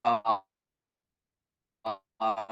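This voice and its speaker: A man who is not a native English speaker, giving a lecture and answering questions on a video call, halting with many fillers and restarts. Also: on the low side, flat delivery, a bit bright, lo-fi sound. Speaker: guest lecturer. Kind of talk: online lecture Q&A.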